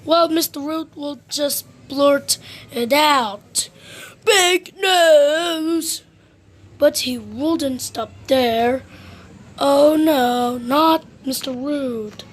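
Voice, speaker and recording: A woman reading a children's storybook aloud as narrator, in an expressive, deliberate way. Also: high-pitched.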